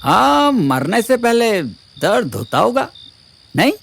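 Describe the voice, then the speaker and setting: Man acting cocky, taunting villain, slow and swooping. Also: sits high, very animated, creaky, a bit bright, faint music behind, full character voice. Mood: menacing, teasing. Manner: taunting, sneering mock politeness, chuckling. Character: sadistic, mocking knife-wielding male villain, young, cocky. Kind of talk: Hindi audio drama acting.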